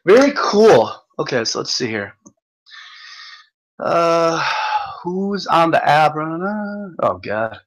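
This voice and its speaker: A man hosting a livestream, ranting in a profane, stumbling, repetitive way while reading comments, his voice going hoarse. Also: fairly high pitch, very animated, takes it slowly, long pauses, rough recording. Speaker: livestream host. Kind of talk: rambling livestream rant.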